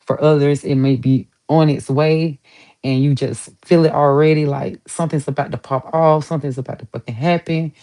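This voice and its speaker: A woman's voice: sits low, fairly even intonation, moderate pace, warm tone. A woman giving a casual tarot reading to her viewers, chatty and emphatic, swearing casually and repeating herself for emphasis. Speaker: tarot reader.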